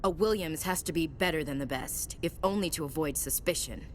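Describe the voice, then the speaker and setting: An actress playing a proud, defiant young human soldier in a video game, with a blunt, sardonic manner. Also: female voice, brisk, natural voice. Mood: bitter, proud. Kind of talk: video game dialogue acting. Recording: rumbling background noise.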